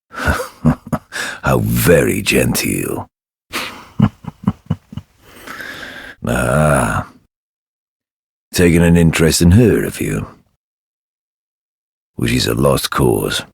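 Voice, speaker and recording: Extremely deep, sneering knight. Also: male voice, lively intonation, extremely slow, long pauses, dry recording, studio quality, exaggerated voice. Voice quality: very deep, gravelly. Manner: sneering, condescending, drawling. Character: surly, contemptuous, menacing knight guarding a girl. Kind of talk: dark fantasy game character acting.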